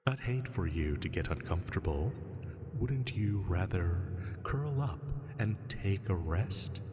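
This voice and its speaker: A man voicing a huge male dragon in a roleplay sleep-aid drama, teasing and soothing with gentle menace, lazily amused, with drawn-out pauses. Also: deep voice, expressive. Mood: coaxing, languid. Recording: long cavernous reverb.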